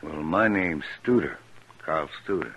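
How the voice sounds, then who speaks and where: An actor playing a quiet, guarded drifter looking for work in a 1950s western radio drama, terse and subdued. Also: male voice, extremely low pitch, lively intonation, unhurried, a little hiss or hum, full character voice. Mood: guarded, meek. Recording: dull, distant old radio recording.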